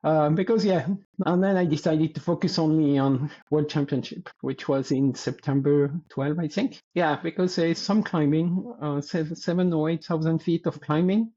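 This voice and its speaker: A French-accented man of about sixty with a slightly high yet dark, mellow voice. He chats as an interview guest, hesitating and hunting for words. The recording sounds a little dull and lo-fi. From France. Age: around 60. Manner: halting, searching for words, laughing lightly. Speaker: guest athlete. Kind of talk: casual interview talk.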